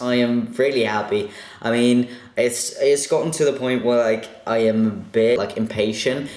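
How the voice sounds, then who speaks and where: A young man casually answering viewer questions in a vlog, chatty and a bit self-conscious, with lots of fillers. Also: on the low side. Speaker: vlogger. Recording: echoey room.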